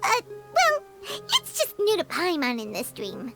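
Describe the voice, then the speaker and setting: A woman voicing a chirpy, excitable, childlike little fairy companion in a video game, with a squeaky, sing-song voice. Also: unhurried, creaky, exaggerated voice. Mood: excited. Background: game music.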